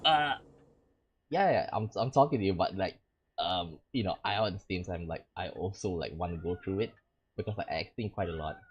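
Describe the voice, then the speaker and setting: A man's voice: low-pitched, normal speed, slightly rough, dull audio. A man from Malaysia, hosting a let's-play as a VTuber, rambling with plenty of fillers between reading the game's text. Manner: rambling, full of fillers like "like" and "you know". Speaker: VTuber gaming host.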